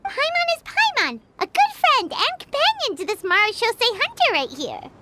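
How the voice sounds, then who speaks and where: A tiny, chirpy fairy companion, squeaking very high and swooping wildly up and down. She refers to herself in the third person, and loud noise hisses behind her. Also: wildly swooping pitch, normal speed, exaggerated voice.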